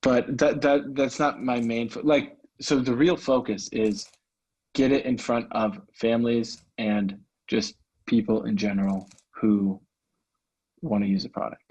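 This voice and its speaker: Husky man talking candidly on a call. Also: mid-range voice, steady tone, a little slow, darkish tone, rough recording. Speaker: salesman, prospective coaching client. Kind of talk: casual, candid phone conversation. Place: recorded call.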